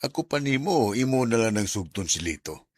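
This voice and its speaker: A man's voice: mid-range voice, very animated, fairly quick, darkish tone, no echo. A Visayan Filipino man playing a persuasive, meddling uncle in a radio drama. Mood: nudging, persuasive. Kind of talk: Bisaya radio drama acting.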